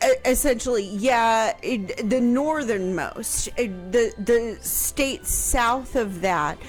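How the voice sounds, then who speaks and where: Slow, hesitant woman historian. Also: fairly high pitch. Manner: hesitant, many ums, slow and thoughtful. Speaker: historian guest. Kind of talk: casual radio interview. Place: radio studio. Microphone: studio microphone. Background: faint music.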